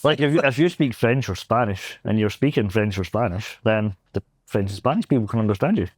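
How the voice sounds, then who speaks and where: A man's voice: on the low side, animated, a little fast, husky, no echo. A young Scottish man with a Glaswegian accent, chatting casually and self-deprecatingly as an interview guest. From Scotland. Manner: rambling, self-deprecating, laughs often. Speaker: pentest engineer guest. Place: convention floor.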